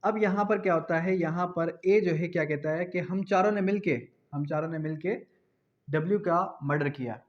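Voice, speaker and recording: A man's voice: on the high side, moderate pace, muffled recording. A man with a Pakistani accent giving a law lecture, explaining didactically with repetition, asking questions and answering them himself, and dictating definitions.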